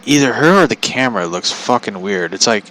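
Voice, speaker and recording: A man's voice: lowish voice, expressive, a little fast, sharp tone, poor audio. A man telling stories in a casual, rambling, foul-mouthed way as a podcast host, incredulous and repeating himself for emphasis.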